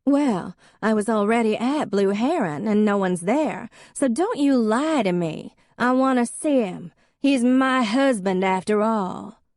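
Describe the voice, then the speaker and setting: A woman's voice: fairly high pitch, expressive, a little slow, hardly any pauses, darkish tone, no echo, clean studio sound, pitched above the performer's own voice. A woman doing a shrieking drunk southern woman. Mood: demanding. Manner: slurring, shrieking.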